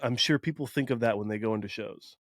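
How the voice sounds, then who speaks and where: Joking Wisconsin-raised podcast host. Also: male voice, medium pitch, quick pace, dry recording, studio quality. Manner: joking, self-deprecating. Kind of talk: casual chat.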